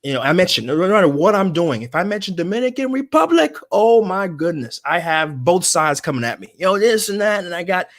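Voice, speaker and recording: A man's voice: high voice, lively intonation, brisk, few pauses. A man hosting an interview show, talking casually and rambling with many restarts. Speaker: podcast host.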